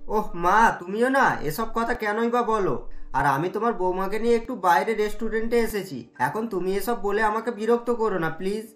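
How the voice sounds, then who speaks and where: A young married man from a cartoon tale, doting but easily riled , voiced by a woman. The pitch sits well under the narrator's, and the delivery is conversational and emphatic. Music runs beneath. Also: moderate pace, natural voice. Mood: impatient, placating. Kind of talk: cartoon story dialogue.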